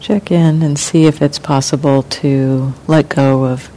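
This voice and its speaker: A woman meditation teacher leading a guided meditation in calm, gentle, unhurried phrases with long pauses. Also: rough recording. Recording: clear rumbling background noise.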